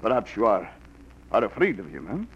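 A man's voice: lowish voice, huge pitch swings, moderate pace, slightly rough, voice pushed down, heavily acted. An actor playing a man who fakes a Russian accent and broken English as a blustering salesman, in a 1940s radio play, on a lo-fi old recording. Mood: wooing. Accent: put-on Russian. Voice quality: broken English, laughing, theatrical.

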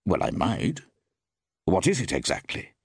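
A polite, easygoing gentleman traveller, played by a male narrator. His intonation moves about a good deal, with a slightly husky tone. The manner is measured and mildly amused, suited to a storybook mystery. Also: sits low, wildly swooping pitch, dry recording, clean studio sound, exaggerated voice. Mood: helpful, curious.

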